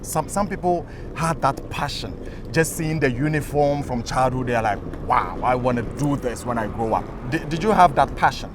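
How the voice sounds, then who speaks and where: A man with a Ghanaian accent hosting an outdoor street interview, playful and animated, teasing his guests. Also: mid-range voice, normal speed. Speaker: host. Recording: faint outdoor street noise. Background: street and traffic noise.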